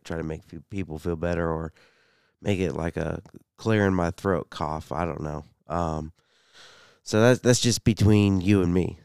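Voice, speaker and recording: A man hosting a solo sports podcast, a bit congested, talking haltingly with many fillers. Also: low-pitched, vocal fry, darkish tone, clear, resonant tone, no echo, studio quality. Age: 30s. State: congested, slight cough. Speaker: podcast host.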